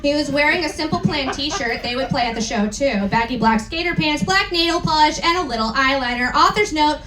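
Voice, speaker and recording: A woman's voice: high voice, expressive, fairly quick. A woman giving a comedic dramatic reading of a story to a live audience in a theatrical, amused manner. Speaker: performer reading fan fiction aloud. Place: indoor live event venue. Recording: echoey hall, distant mic, background noise.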